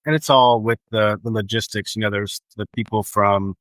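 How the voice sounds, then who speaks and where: A man's voice: on the low side, fairly even intonation, moderate pace, fairly bright tone, dry recording, rough recording. A man speaking as an instructor in a casual interview, repeating phrases for emphasis. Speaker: air traffic control instructor.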